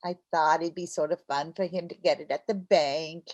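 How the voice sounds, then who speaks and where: Theatrical, excitable radio housewife played by a woman. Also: deep voice, highs cut off. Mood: brisk. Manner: theatrical, quick to jump to conclusions. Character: scheming, excitable, chatty housewife. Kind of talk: old-time radio comedy acting.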